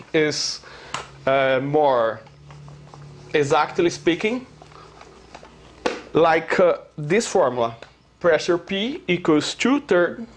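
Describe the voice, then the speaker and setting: A man with a Brazilian Portuguese accent lectures in a slightly high voice, taking his time over every phrase. His English is earnest and halting, full of pauses and filler sounds as he searches for words. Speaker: self-taught theorist presenting his theory. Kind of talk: slow explanatory lecture.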